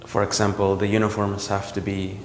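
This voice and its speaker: A man from El Salvador, a visual artist, talking about his work in a gallery in a reflective, halting way with many ums and restarts. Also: fairly low pitch, monotone, slight background noise. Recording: roomy gallery echo with long reverb.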